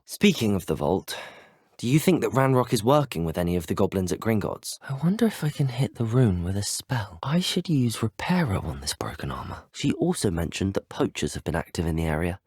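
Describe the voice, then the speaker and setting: A man's voice: lowish voice, big pitch swings, moderate pace, a little mellow, slightly rough, no room sound, clean studio sound. A man voicing a polite, earnest teenage student wizard in a video game, speaking scripted lines in a thoughtful, well-spoken manner. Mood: earnest, concerned. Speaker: video game player character.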